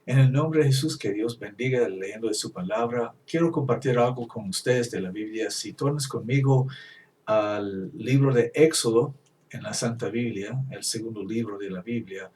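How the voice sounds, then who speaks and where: A man whose first language is English gives a Bible teaching, reading verses slowly and halting over his words. He sits back from the mic, so his voice sounds a bit distant. His pitch is middling for a man. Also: a little mellow. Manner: halting, with restarts and slow scripture reading. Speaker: preacher.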